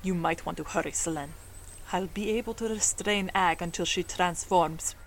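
A woman acting a watchful supernatural being in an audio drama. Her voice is on the low side, measured and dryly formal. There is faint noise behind her. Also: natural voice, low-quality recording. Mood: cool, watchful. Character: cool, watchful supernatural woman with keen senses.

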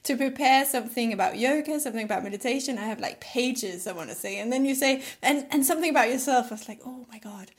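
A woman's voice: on the high side, quick pace, few pauses. A young woman from Denmark, a native Danish speaker, chatting casually as an interview guest. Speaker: podcast guest, yoga teacher.

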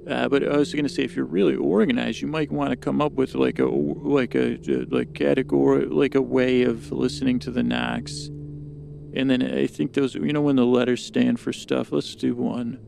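A man's voice: mid-range voice, little pitch movement, moderate pace, vocal fry, warm tone, a little hiss or hum. A man hosting a podcast, improvising a meandering monologue that halts, repeats itself and trails off. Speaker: podcast host. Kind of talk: rambling improvised bedtime story monologue.

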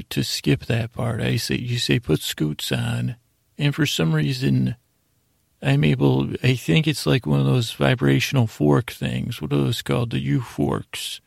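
A man with a heavily creaky, husky voice at a medium pitch hosts a bedtime podcast. He meanders and doubles back on himself in a halting, lulling monologue. The recording is dry and close, with no room sound. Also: darkish tone, no room sound. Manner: meandering, halting, self-interrupting, soothing intent. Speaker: podcast host.

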